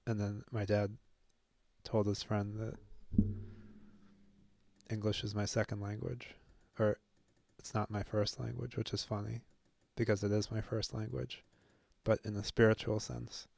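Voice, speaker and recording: A young man with a low, gravelly voice talks slowly, musing out loud as he works through a new alphabet. He stops often on fillers and jokes at his own expense, and the sound is dry and close with no room around it. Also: little pitch movement, dry recording. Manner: musing, self-deprecating, halting with many fillers. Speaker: language-learning video host. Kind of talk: thinking aloud while self-studying.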